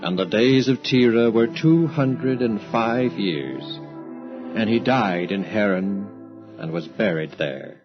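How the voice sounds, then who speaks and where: A man acting as a solemn scripture storyteller, giving measured, formal scripted narration in a biblical audio drama. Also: on the low side. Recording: muffled radio drama, music underneath.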